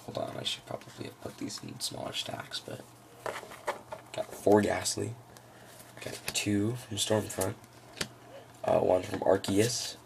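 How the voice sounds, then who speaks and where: A man with a froggy, gravelly voice giving a casual, unscripted walkthrough of trading cards, halting as he thinks aloud. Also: on the low side, fairly even intonation, noisy background. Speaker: trading card hobbyist presenting a deck.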